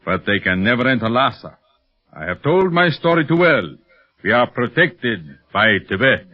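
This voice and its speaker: A man's voice: mid-range voice, sing-song, normal speed. A man playing a gloating, scheming villain in a 1930s radio adventure serial. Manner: smug, menacing. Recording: muffled 1930s radio recording.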